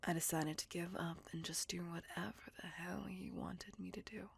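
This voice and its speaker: Female vampire, played by a woman, wounded and bitter, for ASMR audio drama. Slightly low, heavily breathy whisper, intimate confessional delivery. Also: steady tone, heavily acted. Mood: reflective, subdued. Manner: slow, intimate, confessional storytelling. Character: beautiful, melancholy, bitter female vampire recounting her past. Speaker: voice actor in audio roleplay. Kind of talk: whispered ASMR roleplay monologue.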